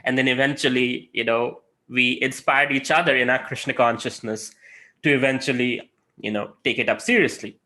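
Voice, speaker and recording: Bright-voiced man telling stories in a sermon. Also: medium pitch, normal speed. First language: not English. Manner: storytelling, animated, rising to emphatic peaks. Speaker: guest speaker.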